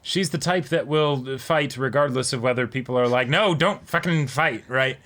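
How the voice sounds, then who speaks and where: A man casually discussing anime as a co-host, talking in an excitable, rambling way. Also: medium pitch, moderate pace. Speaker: reaction show co-host.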